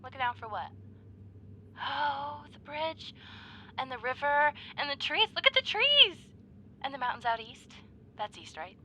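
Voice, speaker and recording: A woman playing an excitable, playful young woman on a date, in a conversational, halting roleplay style with teasing, gushing delivery. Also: high voice, slight background noise, voice pushed up, full character voice, rough recording. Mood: thrilled, awed. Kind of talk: ASMR roleplay acting, one side of a dialogue.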